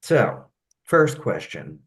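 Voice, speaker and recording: A woman's voice: rumbling low, sing-song, slow pace. A woman hosting a podcast in casual chat, with a playful, self-deprecating manner. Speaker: podcast host.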